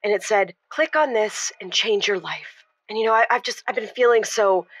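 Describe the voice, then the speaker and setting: A zealous, pushy wife on a radio call-in, played by a woman, with a bright, forceful voice and a dry, close sound. She gushes and repeats herself insistently in comedic audio-drama style. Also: medium pitch, moderate pace, no echo, close to an everyday voice, low-quality recording. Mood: confiding. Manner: insistent, gushing, repetitive. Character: zealous, pushy wife hooked on a get-rich scheme. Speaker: caller.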